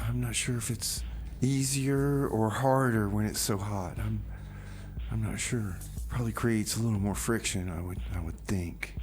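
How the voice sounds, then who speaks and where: A man's voice: mid-range voice, darkish tone, a little hiss or hum. A man hosting a radio music show in a laid-back, rambling way, often repeating himself. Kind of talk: casual radio chat. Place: radio studio.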